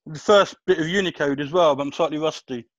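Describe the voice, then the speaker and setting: A man's voice: on the high side, fast talker, muffled recording. A man competing in an online quiz over a video call, giving short answers and casual chat. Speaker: quiz contestant.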